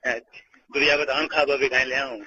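A man's voice: mid-range voice, level tone, normal speed, pushed and bright. A man with a Rajasthani accent, chatting casually and privately as a caller on the phone. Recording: dull lo-fi phone call, background noise.

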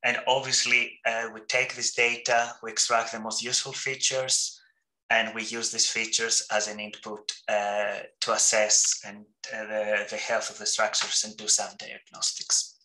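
A man's voice: lowish voice, little pitch movement, a little slow, bright, forceful tone, reverberant, low-quality recording. A man in his thirties with a Greek accent, giving a friendly, joking academic talk over a video call with many ums and uhs. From Greece. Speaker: seminar speaker. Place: home room, indoors.

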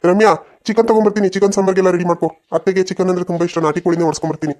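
A woman's voice: lowish voice, flat delivery, rapid-fire, darkish tone, lightly acted. A woman voicing a protective, dutiful young village man in a cartoon family drama, earnest and insistent, with a rural southern Karnataka accent. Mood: dutiful, warm.

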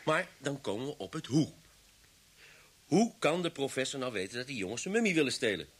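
An actor in a Dutch radio drama playing a sardonic, sceptical police inspector with a dry, reasoning manner. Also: male voice, mid-range voice, big pitch swings, a little fast, husky.

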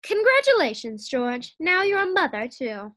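A woman's voice: high voice, sing-song, normal speed, sharp tone, full character voice. A woman playing a sassy, playful, easily exasperated wife in an old-time radio sitcom style. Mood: exasperated, sarcastic.

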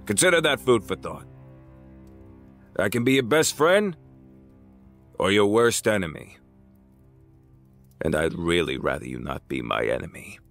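A man's voice: low voice, very animated, a little slow, pauses often, a bit bright, heavily acted. An actor in an audio drama playing a smug, menacing crime boss who taunts and condescends as he threatens. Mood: threatening. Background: music.

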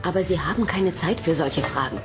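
A woman's voice: on the low side, animated, fairly quick, close to an everyday voice. A woman acting an urgent, compassionate alien in human form in a sci-fi audio drama. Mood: earnest, pressing. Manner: earnest, urgent. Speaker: actor. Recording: music under the voice.

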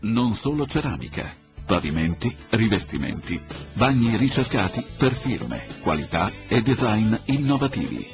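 A man's voice: lowish voice, big pitch swings, normal speed. A man reading a radio commercial in a punchy, sing-song ad style. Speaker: ad announcer. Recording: dull radio broadcast with music.

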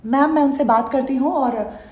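A man's voice: very high voice, normal speed, a little mellow, pitched above the performer's own voice. A man voicing a polite, patient female call-centre agent in a prank phone call. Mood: apologetic, reassuring. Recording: roomy indoor echo.

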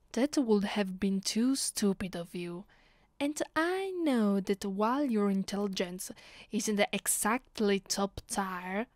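Casting: actress as cold, haughty female vampire for ASMR roleplay, Italian accent, unhurried hushed delivery, dry sound. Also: mid-range voice, no room sound, lightly acted. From Italy. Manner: slow, hushed, haughty delivery with whispered phrases. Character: haughty, cold female vampire mistress punishing her servant.

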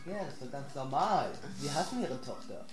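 A man's voice: medium pitch, big pitch swings, a little fast. A man clowning around in silly, casual gaming banter. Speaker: let's play co-commentator. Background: faint game music.

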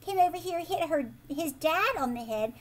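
An American woman in her thirties reads a picture book aloud to children expressively, pausing to ask the listener questions. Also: extremely high pitch, a little hiss or hum. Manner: animated, prompting listeners with questions. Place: living room at home, indoors.

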